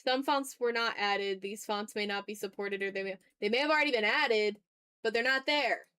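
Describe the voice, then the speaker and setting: A woman's voice: mid-range voice, normal speed, bright, forceful tone, smooth. A woman livestreaming as she draws, chatting casually with viewers and muttering to herself as she talks through each step. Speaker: art streamer. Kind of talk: casual livestream chat while drawing.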